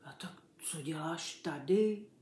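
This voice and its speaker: A woman's voice: deep voice, dark tone, lightly acted. Little storybook dog, male, innocent and easily baffled woman performing; tentative, wondering delivery, roomy sound. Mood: timid confusion. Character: naive, puzzled little male mongrel dog. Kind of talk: children's story character reading. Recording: roomy echo.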